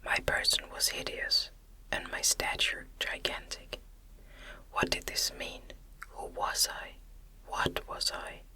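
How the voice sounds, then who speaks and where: A woman reading a gothic novel as ASMR, in a gentle, breathy, unvoiced whisper with a measured delivery. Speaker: audiobook narrator.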